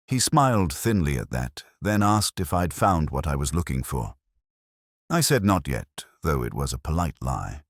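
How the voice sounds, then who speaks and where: Male narrator for detective fiction: extremely low register, expressive pitch movement, measured and brooding, close dry sound. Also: no room sound. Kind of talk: scripted first-person detective story narration.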